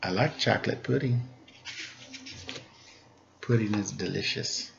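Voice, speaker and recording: A man's voice: lowish voice, measured pace, creaky, dull audio, slight background noise. A man reading a children's picture book aloud as a storyteller, playful and mock-innocent, with casual asides.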